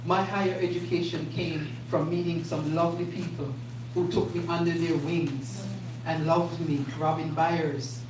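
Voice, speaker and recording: A woman's voice: low-pitched, measured pace. A woman hosting a community event, speaking with emphatic, repetitive energy to the crowd. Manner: warm, repetitive, emphatic, animated. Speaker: event host. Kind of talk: live event speech to a crowd. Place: community event space indoors. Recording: echoey room recorded from a distance, noisy.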